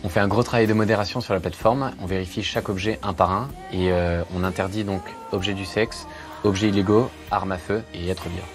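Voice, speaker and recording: Deep-voiced man explaining his startup. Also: steady tone, fairly quick, soft background music. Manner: fluent with many fillers. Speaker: startup founder interviewed. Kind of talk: explaining a project in an interview.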